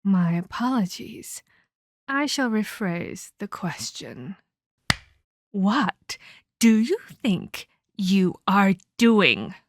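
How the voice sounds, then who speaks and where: A woman's voice: medium pitch, very animated, no echo, studio quality, full character voice. A woman voicing a menacing female vampire captor in a scripted ASMR roleplay, theatrical and mockingly sweet as she scolds and condescends. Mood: condescending, sardonic. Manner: theatrical, mock-polite, slow deliberate scolding.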